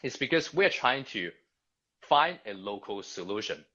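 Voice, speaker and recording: A man from Hong Kong speaking English with a Hong Kong accent, a Cantonese native speaker, telling his story as a guest in a halting way with many restarts and tag questions. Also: fairly low pitch, very animated, pushed and bright, highs cut off. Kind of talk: conversational storytelling.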